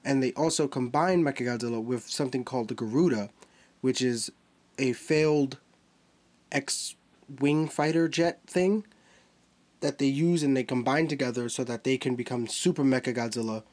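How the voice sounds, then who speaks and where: Brief: man, mid-pitched, nasal and stuffy from a cold, chatty toy-review host walking through a figure. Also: normal speed, darkish tone. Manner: chatty, explains while handling a figure.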